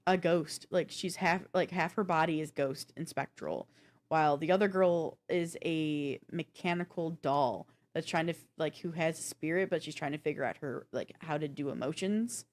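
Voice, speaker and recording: Lower-voiced woman chatting about games. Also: normal speed. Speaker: podcast co-host. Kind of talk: casual gaming chat and review.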